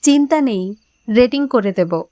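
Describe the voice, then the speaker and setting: A woman acting a kind, friendly young working woman in an audio story, with a reassuring, gently teasing manner. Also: a little high, lively intonation, unhurried, no echo, studio quality. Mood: playful, curious.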